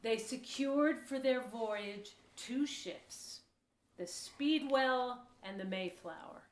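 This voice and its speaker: A woman's voice: fairly high pitch, takes it slowly. A woman reading scripted historical narration for an audio play. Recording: echoey room.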